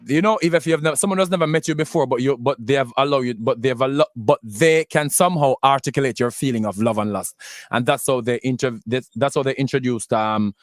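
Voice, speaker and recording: A man's voice: medium pitch, fast talker, no room sound, studio quality. A man hosting a radio show, talking casually between songs with many restarts and fillers.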